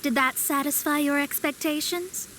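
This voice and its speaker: A woman as a high-voiced haughty young astrologist. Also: steady tone, normal speed, background noise, natural voice. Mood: smug, self-assured. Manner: pompous, formal, self-assured. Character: proud, lofty young female astrologist, haughty and wordy. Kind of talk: video game voice acting.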